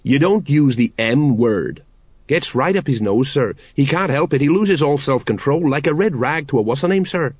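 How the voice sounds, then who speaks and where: A man's voice: medium pitch, animated, moderate pace, slightly rough, no echo, highs cut off. A man voicing an anxious, deferential middle-aged police sergeant who is hesitant and pleading, in a comic audiobook. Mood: urgent warning. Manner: hesitant, pleading, urgent, peppered with sir.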